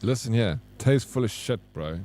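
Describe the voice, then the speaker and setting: A man's voice: mid-range voice, lively intonation, moderate pace, mellow. A male streamer chatting casually while gaming, joking, teasing and swearing freely, often laughing.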